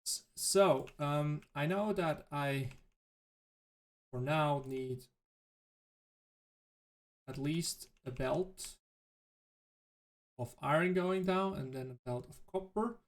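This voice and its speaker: A man whose native language is German, thinking aloud in halting, self-correcting commentary as he plays a game. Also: a little high. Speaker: let's play host. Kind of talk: casual gameplay commentary.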